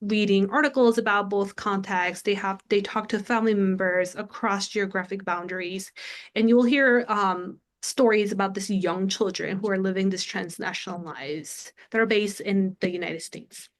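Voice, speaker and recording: A woman's voice: mid-range voice, steady tone, normal speed. A woman from South Korea, a native Korean speaker in her thirties, giving an academic lecture over a video call in an earnest way with frequent "um" fillers. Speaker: guest lecturer.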